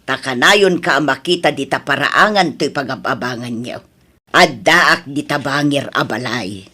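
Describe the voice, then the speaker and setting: A woman's voice: rumbling low, normal speed, sharp tone, breathy edge, heavily acted. A woman playing a nosy middle-aged housemaid in an audio drama, with an inquisitive and emphatic delivery. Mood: chatty, surprised. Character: Nana Mila, nosy older village woman working as housemaid.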